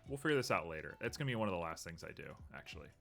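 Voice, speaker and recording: Male streamer, lower register, a bit sniffly, relaxed think-aloud commentary full of ums. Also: very fast, creaky, faint music behind. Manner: thinks aloud, frequent ums, muttering at the software. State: a little sniffly. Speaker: streamer building a 3D model. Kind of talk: casual live-stream commentary while working.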